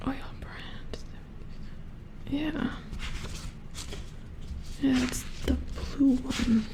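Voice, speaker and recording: A woman's voice: high voice, steady tone. Whispering female robot repair engineer, acted by a woman. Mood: puzzled, focused. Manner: hushed, halting, muttering to herself. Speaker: ASMR roleplay performer. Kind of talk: soft-spoken ASMR roleplay.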